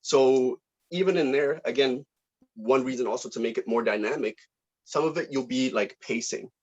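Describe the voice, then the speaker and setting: A man giving informal notes as a director, halting and searching for his words. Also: mid-range voice, a little mellow, muffled recording.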